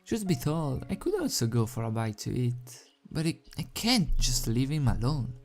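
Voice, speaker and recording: Man voicing a soft-spoken, anxious female unicorn pony. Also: mid-range voice, sing-song, moderate pace. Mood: worried. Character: caring, worried young female unicorn pony.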